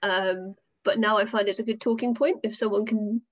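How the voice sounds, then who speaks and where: A woman's voice: medium pitch, steady tone, moderate pace, lo-fi sound. A woman in her late twenties, chatting casually on a group video call. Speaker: panel participant. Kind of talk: casual chat.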